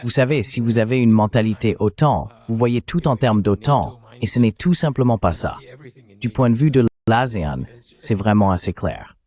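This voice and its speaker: A man's voice: on the low side, expressive, normal speed, a little mellow. A man reading a voice-over translation of a political interview. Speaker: French voice-over translating interview host and guest.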